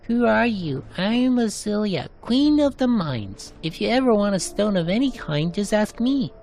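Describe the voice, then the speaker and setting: Man putting on an excited, high-pitched little girl. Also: moderate pace, creaky, full character voice. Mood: eager, proud. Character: excited little girl, proud young treasure hunter. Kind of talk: narrated game cutscene character.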